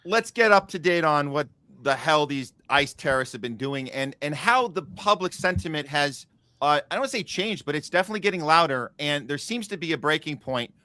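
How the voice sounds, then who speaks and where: A man's voice: fairly high pitch, expressive, fairly quick, pushed and bright, no room sound. A man hosting a political talk podcast, speaking earnestly and rambling with frequent restarts. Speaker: podcast host. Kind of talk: casual political talk show.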